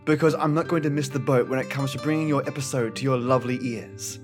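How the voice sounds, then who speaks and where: A man hosting a podcast, reading a scripted introduction in a measured, earnest and formal way. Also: mid-range voice, steady tone. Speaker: podcast host. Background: plucked guitar music.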